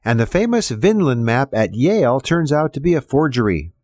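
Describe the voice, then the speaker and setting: A man's voice: mid-range voice, very animated, normal speed, a little mellow, no echo, clean studio sound. A man reading a scripted introduction and announcements for a news program.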